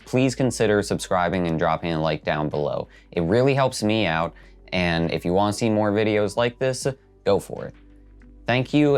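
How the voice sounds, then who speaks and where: A man with a fairly low voice hosts a video essay. He reads scripted narration over background music.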